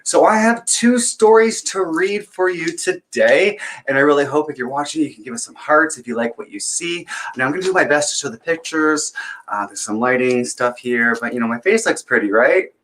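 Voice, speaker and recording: A man hosting a children's storytime, chatting to viewers and reading aloud in an expressive, theatrical way. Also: fairly high pitch, moderate pace, slight background noise. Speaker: children's storytime host and reader. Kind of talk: chatty introduction and animated picture-book reading aloud.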